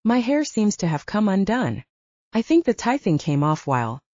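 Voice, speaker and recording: A woman's voice: mid-range voice, big pitch swings, moderate pace, dark tone, no echo, lo-fi sound. A woman voicing a candid, playful young woman, the heroine of an audiobook romance.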